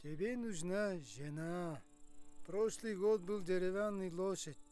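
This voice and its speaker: An actor playing a calm Tuvan herder and captive, speaking Russian with a Tuvan accent in sparse, broken phrases of folk wisdom. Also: male voice, high voice, slow pace, clear, resonant tone, soft background music. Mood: measured, solemn. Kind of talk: naturalistic film acting.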